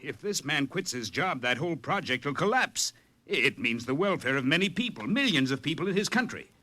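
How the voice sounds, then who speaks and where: A deferential male engineer in 1950s radio drama style. His voice is bright and rises and falls a lot. He reasons earnestly and a little hesitantly, objecting with respect and concern. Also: fairly high pitch, sing-song, brisk, no echo, full character voice. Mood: pleading.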